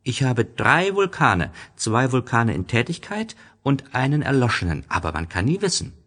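A small, innocent prince acted out by a man in a storybook audio play. The voice sits well up in pitch and swings freely, asking everything with earnest, childlike wonder. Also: sing-song, moderate pace, fairly bright tone. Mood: modest. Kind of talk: storybook audio drama acting.

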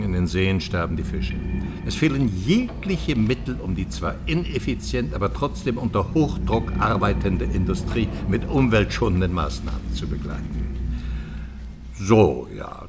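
An older man playing a charming, bold professor emeritus in a German radio play, speaking in a measured, lecturing, ironic way. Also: on the low side, noisy background.

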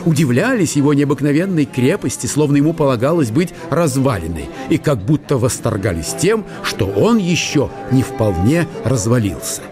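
A man's voice: mid-range voice, big pitch swings, moderate pace, few pauses, music in the background, close to an everyday voice. A man narrating a historical radio play as dramatic literary storytelling.

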